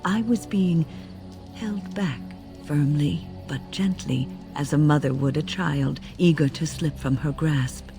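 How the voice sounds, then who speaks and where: A woman's voice: low voice, gravelly, music in the background, heavily acted. An older actress playing a wise, composed mage woman, reflective and formal, in a video game. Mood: grave, reflective. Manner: measured, reflective, formal storytelling. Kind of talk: dramatic video game dialogue.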